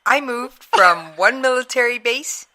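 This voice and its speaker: A woman's voice: a little high, animated, a little slow, sharp tone. A woman producer co-hosting a radio chat, chatty and laughing as she tells stories. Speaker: producer and co-host.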